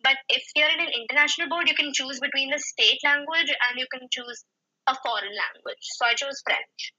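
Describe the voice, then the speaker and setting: A woman's voice: high-pitched, brisk, bright, forceful tone, muffled recording. A young woman from India chatting as a guest, rambling with many restarts and fillers. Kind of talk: casual chat.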